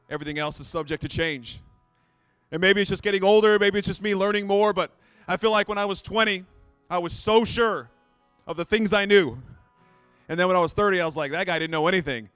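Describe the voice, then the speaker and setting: Pastor, late 30s, high clear tenor, dry close mic, chatty and self-deprecating. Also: male voice, fairly bright tone, dry recording. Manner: conversational, self-deprecating, repeats phrases. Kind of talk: sermon.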